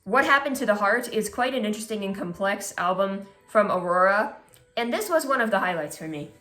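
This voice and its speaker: A woman's voice: medium pitch, animated, brisk, a bit bright. A congested woman hosting a music ranking video, reading scripted commentary in a casual, slightly sardonic way. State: congested, stuffy nose. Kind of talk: scripted list commentary read aloud. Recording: echoey room.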